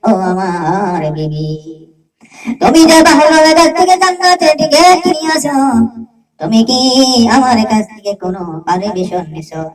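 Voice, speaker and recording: A stern, possessive caliph acted out by a woman scolds in a religious tale. The voice is fairly high, with repeated demanding questions, set back from the mic over music. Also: big pitch swings, moderate pace, reverberant, far from the mic, poor audio. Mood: angry scolding.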